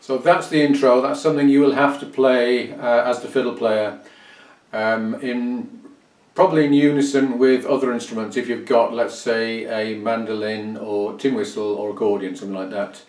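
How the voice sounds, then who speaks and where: An older man explains things like an informal music teacher, pausing mid-phrase as he goes. His voice sits at an average pitch. It is picked up from a little way off in a small, echoey room. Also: steady tone, a little mellow. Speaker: fiddle teacher. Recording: roomy, distant microphone sound.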